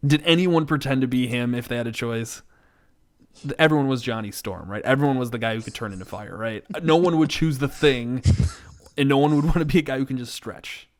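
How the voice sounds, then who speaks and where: A man's voice: mid-range voice, a little fast. A man co-hosting a podcast in casual comedic banter about movies, self-deprecating and mock-exasperated. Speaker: podcast co-host.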